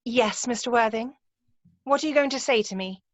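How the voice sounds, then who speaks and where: A woman's voice: a little high, moderate pace, dry recording, lightly acted. A woman playing a self-assured, romantic young upper-class lady in an amateur play read-through, speaking precisely and emphatically over a video call. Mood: coyly insistent. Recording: muffled, dull video-call audio.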